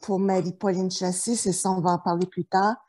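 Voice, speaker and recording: A woman's voice: lowish voice, level tone, a little fast, dark tone. A middle-aged woman from New Brunswick, a therapist telling her life story in an interview, hesitant with many fillers and restarts. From New Brunswick, Canada. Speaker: therapist guest.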